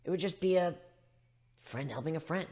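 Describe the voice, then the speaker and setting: A man's voice: fairly high pitch, moderate pace, darkish tone, close to an everyday voice. A man voicing a frank, persuasive female griffin in a dramatic story reading, blunt and coaxing.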